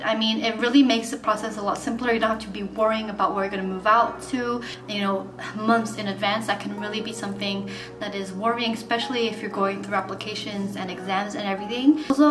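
A woman in her twenties, not a native English speaker, talking frankly and casually to the camera as a student vlogger in her bedroom. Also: mid-range voice. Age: 20s. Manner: chatty, frank, many fillers. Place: bedroom, indoors. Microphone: camera in front at desk distance. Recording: roomy bedroom with music behind.

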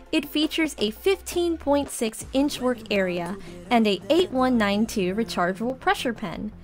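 A woman channel host reading a scripted promotional narration for a sponsor. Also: a little high.

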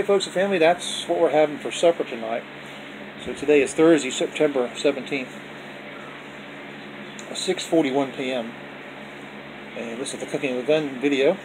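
Folksy man blessing viewers over home noise. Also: medium pitch. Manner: folksy, repetitive, with religious blessings. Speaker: vlog host showing his dinner. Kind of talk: casual off-camera food vlog. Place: indoors at home, dining table.

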